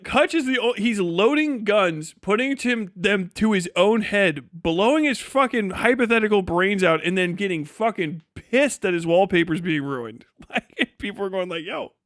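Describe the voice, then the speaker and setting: High-voiced man, sarcastic livestream host. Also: animated, normal speed, few pauses, a bit bright, no echo, clean studio sound. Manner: sarcastic, mocking, laughs often. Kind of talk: casual reaction commentary with profanity.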